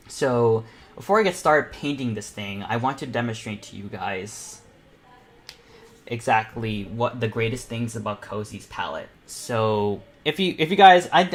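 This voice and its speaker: Nervous teenage guy on a livestream. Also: lowish voice. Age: teens. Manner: nervous, excitable, rambling. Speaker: streamer and painting panel host. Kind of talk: casual livestream chat. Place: indoors at a computer, live stream.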